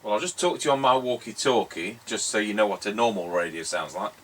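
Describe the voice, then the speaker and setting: A man's voice: on the low side, fast talker, a bit bright. A man chatting casually as he demonstrates a CB radio, using jokey radio slang. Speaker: CB radio tester and presenter.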